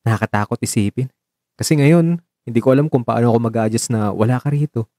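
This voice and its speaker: Man acting caring young boyfriend, soft mellow voice. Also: medium pitch, fast, no room sound, studio quality, natural voice. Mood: affectionate, earnest. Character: caring, gently teasing young man in love, Marco. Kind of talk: Tagalog romantic radio drama dialogue.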